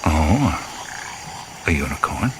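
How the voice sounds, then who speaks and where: An actor playing a seasoned, wry jungle adventurer in an audio drama, musing and halting with dry humor. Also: male voice, extremely low pitch, very animated, background noise, full character voice. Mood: hushed, wondering.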